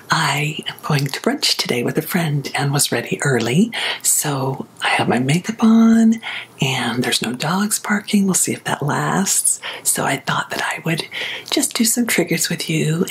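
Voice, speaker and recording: A woman hosting ASMR, chatting casually in a soft, breathy whisper with a gentle, unhurried, confiding manner. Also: sits low, animated, a little hiss or hum, poor audio. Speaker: ASMR video host. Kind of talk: ASMR, casual rambling chat. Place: small sewing and craft room at home.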